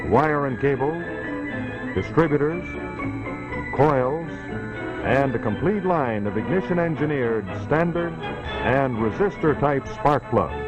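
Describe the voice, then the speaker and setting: A man reads a sponsor message as a 1940s announcer. His delivery is unhurried and formal. The vintage soundtrack is dull, with clear music playing behind him. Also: mid-range voice, lively intonation. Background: loud music.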